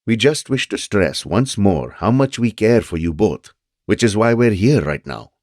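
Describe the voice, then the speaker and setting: A deep, dry-recorded actor's portrayal of a fatherly man, a psychologist and mentor in an audio drama. He is earnest and measured, choosing formal, careful words. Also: expressive, darkish tone, no echo, close to an everyday voice. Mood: grave, earnest. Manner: formal, measured, wordy phrasing.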